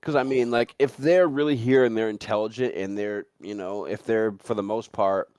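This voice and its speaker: A man's voice: fairly low pitch, fairly even intonation, normal speed, darkish tone, smooth, no echo, clean studio sound. A man hosting a casual talk show, chatting in a rambling, joking way. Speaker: show host. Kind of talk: casual chat.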